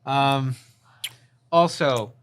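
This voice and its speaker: Young man, halting casual talker. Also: mid-range voice, fairly bright tone, clean tone. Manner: halting, stumbles over words. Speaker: podcast co-host. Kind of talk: casual chat about video games.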